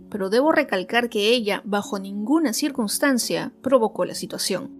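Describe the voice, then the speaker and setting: Higher-pitched woman narrating true crime. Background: background music.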